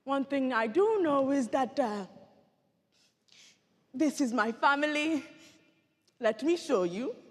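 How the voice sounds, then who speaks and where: Woman playing earnest female Rwandan survivor, high and very animated, theatrical stage monologue in a reverberant hall. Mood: sorrowful, then fond, animated. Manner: deliberate, theatrical storytelling with dramatic pauses. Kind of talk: competitive dramatic monologue. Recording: echoey hall with long reverb.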